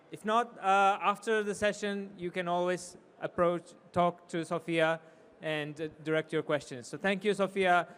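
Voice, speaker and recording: A man's voice: high-pitched, fairly bright tone, a little hiss or hum. A man hosting an event session as moderator, thanking and introducing speakers.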